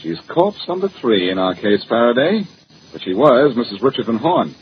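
An actor playing a cocky, wisecracking amateur detective in 1940s radio style, glib, sarcastic and teasing. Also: male voice, medium pitch, very animated, normal speed, close to an everyday voice. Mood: confident, suspicious. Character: cocky, wisecracking amateur detective man, needling a police inspector. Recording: muffled, hissy old-time radio recording.

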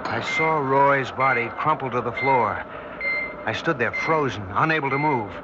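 Actor as haunted scientist in dull radio drama. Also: male voice, medium pitch, heavy background noise, lightly acted. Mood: horrified. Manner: tense, deliberate, dramatic pauses. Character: haunted younger male scientist recounting a terrifying memory. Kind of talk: 1960s radio drama acting, first-person narration. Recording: dull 1960s radio recording, loud dramatic music.

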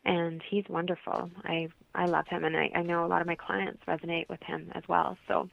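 Gravelly woman on a tinny phone line. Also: lowish voice, little pitch movement, fairly quick. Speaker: interview guest, holistic practitioner. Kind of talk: conversational interview answers, explaining.

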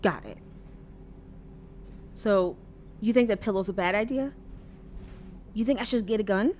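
An actress playing a naive, earnest and oddly frank young person in an audio drama, guileless and matter-of-fact. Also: female voice, medium pitch, measured pace, a little mellow, a little hiss or hum, natural voice. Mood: puzzled, insistent.

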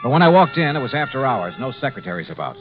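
An American actor playing a tough, shrewd insurance investigator in a 1950s radio drama, with clipped, hard-boiled delivery. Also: male voice, mid-range voice, sing-song, fast, natural voice. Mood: brooding, determined. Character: tough, shrewd male insurance investigator narrating his case. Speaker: lead actor, narrator. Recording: muffled 1950s radio recording with music.

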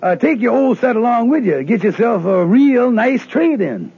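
An actor playing an excitable, skeptical fellow in an animated, drawling in a 1950s radio sitcom. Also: male voice, extremely high pitch, moderate pace, gravelly, noisy background, exaggerated voice. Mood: wry, skeptical. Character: excitable, wheedling husband and skeptical buddy. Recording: dull old radio broadcast recording.

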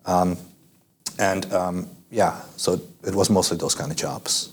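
A man's voice: deep voice, level tone, unhurried, slight background noise. A young Dutch man answering interview questions in a casual, hesitant way, with many fillers and restarts. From Netherlands. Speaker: guest.

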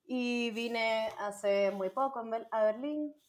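A woman in her twenties from Santo Domingo with a Dominican accent, a calm, plain-spoken podcast guest. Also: a little high, slow pace. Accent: Dominican Spanish. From Santo Domingo, Dominican Republic. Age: 20s. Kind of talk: casual podcast chat.

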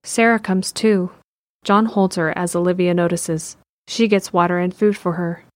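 A woman's voice: on the low side, steady tone, moderate pace. A woman reading scripted narration for an audio drama, describing the action as narrator. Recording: faint music under the voice.

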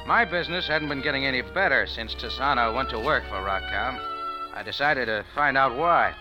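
An actor playing a wry, hard-boiled American bar owner in a 1940s radio noir, deadpan and wisecracking. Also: male voice, mid-range voice, moderate pace, close to an everyday voice, rough recording. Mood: wry narrating. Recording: old 1940s radio recording with music.

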